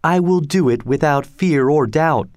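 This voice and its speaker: A man's voice: a little high, a little slow, darkish tone, no room sound, studio quality, lightly acted. A man reading aloud, voicing a determined young woman. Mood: resolute. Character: young woman in love, resolute.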